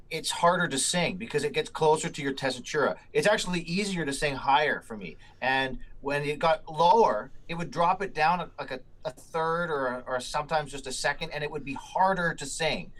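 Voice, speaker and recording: A man's voice: mid-range voice, a bit bright. A man who sings in a band, chatting casually and explaining singing terms in a knowledgeable way. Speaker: singer, guest in chat. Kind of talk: casual chat.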